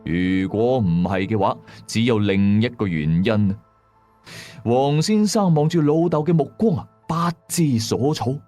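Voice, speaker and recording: A man's voice: medium pitch, animated, unhurried, warm tone, soft background music. A man with a Hong Kong accent narrating a scripted ghost story in a colloquial, dramatic and suspenseful way.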